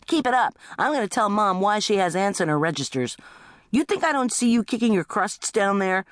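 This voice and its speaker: A woman's voice: medium pitch, big pitch swings, fairly quick, no echo, clean studio sound, pitched above the performer's own voice, close to an everyday voice. A woman voicing an indignant teenage older brother in a comic story, whining and accusing in exaggerated complaint.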